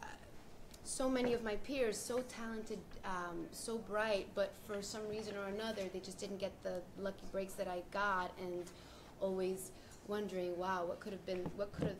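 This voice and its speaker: A woman from New York City speaking earnestly as a panelist about her own experience, with deliberate pauses. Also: medium pitch, steady tone, slight background noise. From New York City, United States. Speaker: panelist, artist. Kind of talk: reflective personal account at a panel discussion.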